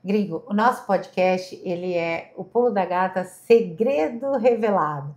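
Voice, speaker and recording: A woman's voice: mid-range voice, animated, measured pace, a little mellow, highs cut off. A woman hosting a podcast interview, asking questions and echoing her guest's answers back. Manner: asks questions, echoes the guest's words back. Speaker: podcast host. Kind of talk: casual interview.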